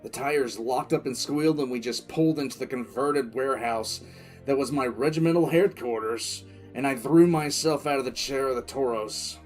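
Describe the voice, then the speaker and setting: A man performs a stern military commissar in an audio drama, worn by war and recounting a crisis. His voice sits at a medium pitch, confiding and dramatic, with weighty pauses. Music plays behind him. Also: darkish tone, close to an everyday voice. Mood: tense, resolute. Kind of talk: first-person audio drama narration. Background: music bed.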